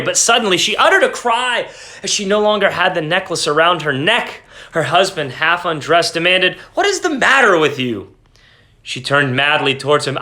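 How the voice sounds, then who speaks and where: A male storyteller giving a dramatic reading, expressive and deliberate in his phrasing. His voice is bright and ringing and sits a little high for a man. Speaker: reader.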